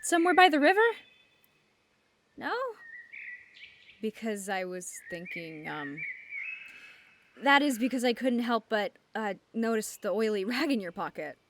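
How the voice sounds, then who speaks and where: A woman's voice: fairly high pitch, big pitch swings, measured pace, long pauses, noisy background. A woman voicing a chatty, scatterbrained young man in a comic fan dub, with flowery, eager speech that wanders into hesitant tangents. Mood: nervous, rambling. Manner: verbose, theatrical, hesitant tangents.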